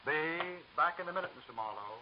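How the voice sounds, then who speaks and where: Actor as an obliging motor court proprietor, muffled. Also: male voice, fairly high pitch, lively intonation, fairly quick, natural voice. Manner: helpful, businesslike, chatty. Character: obliging, talkative male auto court proprietor. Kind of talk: old-time radio detective drama acting. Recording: muffled, noisy old radio recording.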